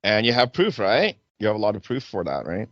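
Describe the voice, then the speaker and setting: A man's voice: on the low side, sing-song, a little fast, a bit bright, no room sound, highs cut off. A man hosting a casual talk show, eagerly prompting his guest with questions. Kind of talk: casual conversational interviewing.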